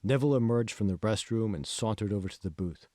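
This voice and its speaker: A man's voice: fairly low pitch, fast, mellow, no room sound, studio quality. A man reading scripted audiobook narration of a novel. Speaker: author reading own audiobook.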